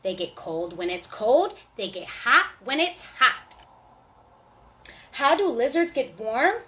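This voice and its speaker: A woman with a high voice reads a picture book aloud to young children. Her delivery is slow and sing-song, and she pauses for small asides to the kids listening. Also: big pitch swings, fairly bright tone. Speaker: children's storytime host.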